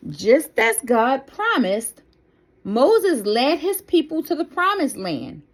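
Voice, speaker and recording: A woman reading a children's Bible story aloud as a storyteller, with deliberate, careful and expressive phrasing. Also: high-pitched.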